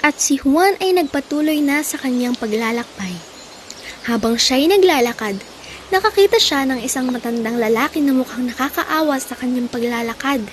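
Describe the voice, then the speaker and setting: Young woman narrator with a high voice. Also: animated, normal speed, slight background noise. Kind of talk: scripted children's story narration.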